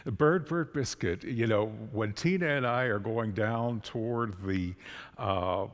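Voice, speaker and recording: An older man pastor talks with a guest in a church sanctuary, conversational and easy as he gestures. His voice sits a bit low. The church hall rings behind him with a long reverb. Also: measured pace. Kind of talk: church service interview. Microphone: stand mic.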